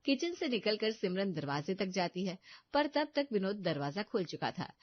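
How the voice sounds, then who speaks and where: A woman's voice: mid-range voice, big pitch swings, moderate pace, no room sound. Woman storyteller, dry and slightly muffled. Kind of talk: scripted dramatic story narration.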